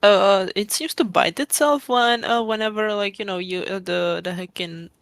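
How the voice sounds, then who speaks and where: A man chatting casually on a podcast call in a tentative, low-key way. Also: normal speed, vocal fry, fairly bright tone, clear, resonant tone, no echo. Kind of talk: casual chat.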